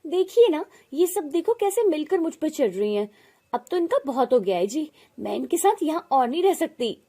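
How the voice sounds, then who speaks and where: A woman's voice: high voice, very animated, moderate pace, dark tone, voice pushed up. A woman voicing a cruel, quarrelsome aunt in a family story, scornful, snapping and complaining. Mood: aggrieved complaint. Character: cruel, quarrelsome aunt, sharp-tongued young wife.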